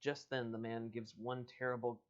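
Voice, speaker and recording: A man's voice: medium pitch, little pitch movement, normal speed. A man hosting an informal livestream, reading an adventure story aloud and chatting casually. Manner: informal, bantering with co-host and viewers. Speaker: host reading an interactive adventure book aloud. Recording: dull lo-fi livestream audio.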